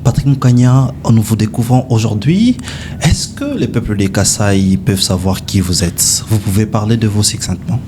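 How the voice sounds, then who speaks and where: A man's voice: fairly low pitch, animated, background noise. A man working as a TV journalist, opening the show and asking a candidate questions in a formal presenter's manner. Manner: formal presenter, brisk interjections. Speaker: TV journalist host. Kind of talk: TV interview hosting.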